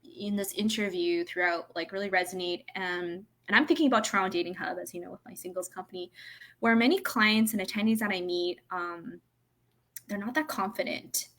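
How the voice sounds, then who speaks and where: A woman's voice: medium pitch, a little fast, a bit bright. Woman host, casual and chatty, asks curious questions with lots of "like" and "um.". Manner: chatty, curious, filler-heavy. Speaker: interview host. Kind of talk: casual interview.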